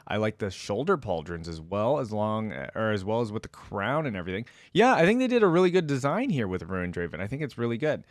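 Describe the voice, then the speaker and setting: A man's voice: lowish voice, very animated, fairly quick, few pauses, no echo, clean studio sound. A man giving casual, off-the-cuff reaction commentary as a video host, thinking aloud in an informal, wryly bemused way.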